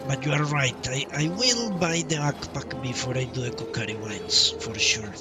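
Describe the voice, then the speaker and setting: A male game streamer giving casual, halting live commentary with some roleplay, often repeating himself. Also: medium pitch, expressive, recorded from a distance. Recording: loud game music behind voice. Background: game music.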